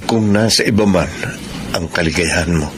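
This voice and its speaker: A man's voice: deep voice, normal speed, close to an everyday voice. A man acting a wistful, lovelorn young man in a Filipino radio drama, earnest and emotive, with a Filipino accent. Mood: wistful, tender. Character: lovelorn, wistful man pining for a taken woman. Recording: clearly noisy background.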